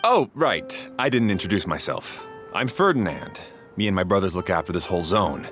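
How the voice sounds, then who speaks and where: Game casting: slangy, snarky slacker caretaker, lowish voice with lively swings, music behind. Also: male voice, normal speed. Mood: casual. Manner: hesitant slangy drawl with filler words. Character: laid-back, slangy male caretaker creature, mildly snarky.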